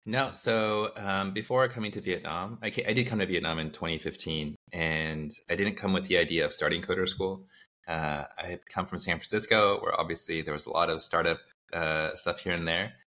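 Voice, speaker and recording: A man's voice: low voice, brisk, pushed and bright. A man in his thirties from the United States, a startup founder telling his story in a casual interview, rambling with fillers and self-corrections.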